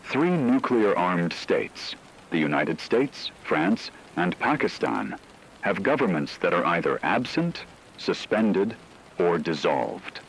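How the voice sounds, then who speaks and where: A man playing a grave radio news analyst, delivering scripted reports in a formal, measured, analytical manner. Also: deep voice, very animated, vocal fry, a little hiss or hum, full character voice, rough recording.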